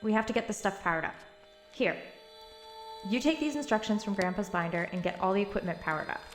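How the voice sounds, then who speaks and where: A woman's voice: mid-range voice, animated, fast talker, natural voice. Woman playing a determined young woman, roomy sound. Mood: matter-of-fact. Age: young adult. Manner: dry, pragmatic, slightly exasperated. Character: determined, matter-of-fact young woman on a secret family mission. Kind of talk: naturalistic audio drama acting. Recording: roomy echo with music behind.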